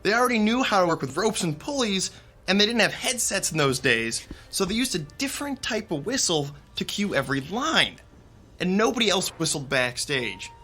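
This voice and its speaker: An actor playing a friendly young theater stagehand who explains things in an easygoing way. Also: male voice, high voice, very animated, normal speed, bright, forceful tone, faint music behind, heavily acted.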